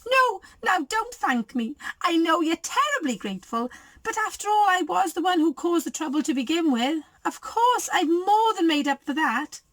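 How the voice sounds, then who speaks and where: A woman's voice: extremely high pitch, sing-song, moderate pace, a bit bright, no room sound, pitched above the performer's own voice, exaggerated voice. A woman voicing a fussy, self-satisfied older lady in a storybook, with a gushing, exclamatory delivery. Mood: gushing, smug. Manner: gushing, exclamatory, pleased with herself.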